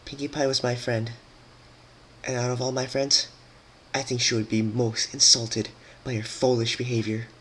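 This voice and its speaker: A man's voice: mid-range voice, flat delivery, normal speed, a bit bright, husky. A man voicing a wrathful, regal female pony princess who scolds in a commanding, accusatory way in a dramatic reading. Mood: scornful reproach. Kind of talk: dramatic fan-fiction reading.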